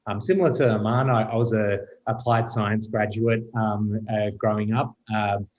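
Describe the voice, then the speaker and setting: Low-voiced Australian man, measured. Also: steady tone, darkish tone, dull audio. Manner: measured, frequent ums. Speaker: retail executive. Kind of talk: panel discussion.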